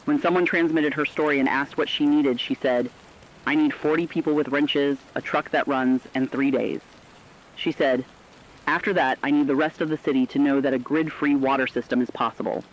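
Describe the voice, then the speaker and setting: A man narrating scripted human stories for a radio broadcast in a solemn, plain-spoken, deliberate manner. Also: medium pitch, fairly even intonation, muffled recording.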